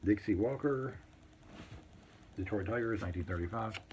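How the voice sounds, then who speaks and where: A man casually showing a collection on an unscripted video, reading items out in short, halting phrases with muttered asides. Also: fairly low pitch, a little mellow, a little hiss or hum. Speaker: collector showing vintage baseball cards. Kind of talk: casual unscripted show-and-tell, reading out cards.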